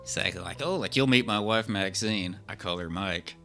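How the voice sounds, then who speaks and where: A man's voice: on the low side, moderate pace, a bit bright. A man co-hosting a podcast, chatting casually in a rambling, repetitive, informal way. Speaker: podcast co-host. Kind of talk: casual chat about old radio horror. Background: faint music.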